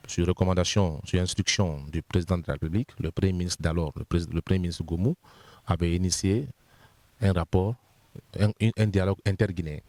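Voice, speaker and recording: A man's voice: low-pitched, darkish tone, no room sound. A man with a Guinean French accent, an official of the constitutional body, methodically explaining points one by one in an interview and sometimes repeating words as he searches.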